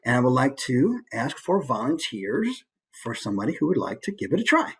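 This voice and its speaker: A man's voice: mid-range voice, sing-song, a little fast, breathy edge. A man leading an online class as an informal, encouraging instructor. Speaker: voice acting workshop presenter. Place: online video call.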